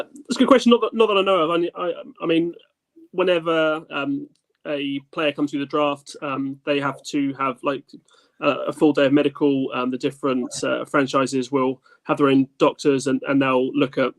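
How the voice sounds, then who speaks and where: A man on a sports panel explaining casually and tentatively, pausing often. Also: fairly high pitch, poor audio.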